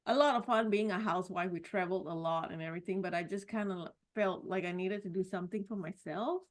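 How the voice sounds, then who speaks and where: A middle-aged woman from Singapore, a podcast guest telling her life story in a casual, reflective way with many pauses and restarts. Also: fairly low pitch. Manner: warm, reflective, with frequent "you know" and restarts. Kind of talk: personal story in a casual interview.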